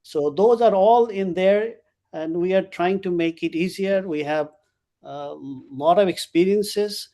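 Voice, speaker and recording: Man, chaplain, panel talk, halting, fillers, slightly high voice. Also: dark tone. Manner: halting, many fillers and restarts. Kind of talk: panel remarks on a video call.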